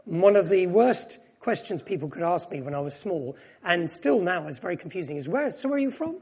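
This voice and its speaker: Middle-aged man, high husky voice, reflective sermon, wry and candid. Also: lively intonation, brisk, darkish tone. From Japan. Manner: reflective, self-deprecating. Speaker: preacher. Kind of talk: personal sermon.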